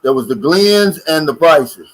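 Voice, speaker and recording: A man's voice: a little high, expressive, a little slow. An older American man co-hosting a casual livestream chat and reading out viewer comments in a folksy, drawling way. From United States. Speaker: co-host of a livestream.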